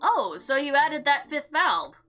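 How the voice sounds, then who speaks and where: A woman hosting a let's play, reading game text aloud with expressive, slangy commentary and sarcastic asides. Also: sits high, a little slow, over loud music. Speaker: gaming video host.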